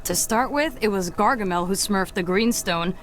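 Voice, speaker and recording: A woman's voice: medium pitch, moderate pace, close to an everyday voice. A woman voicing a practical, decisive little cartoon creature in a video game, with a matter-of-fact manner.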